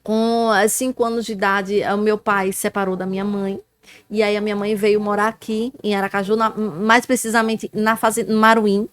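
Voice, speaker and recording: A woman's voice: mid-range voice, little pitch movement, moderate pace, clean tone, no room sound. A woman in her forties with a Northeastern Brazilian accent, speaking as a podcast guest. She talks in an earnest, devout way with small restarts. The recording is close and dry. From Alagoas, Brazil. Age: 40s. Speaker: guest, former councilwoman and activist. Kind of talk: telling her life story.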